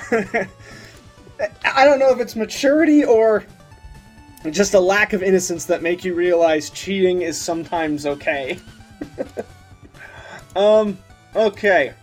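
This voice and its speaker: A man's voice: high-pitched, animated, takes it slowly, pauses often, faint music behind. A man hosting a casual reaction commentary, giggly and loosely profane in the way he talks. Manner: giggly, often laughing as he talks. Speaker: host of a meme review channel.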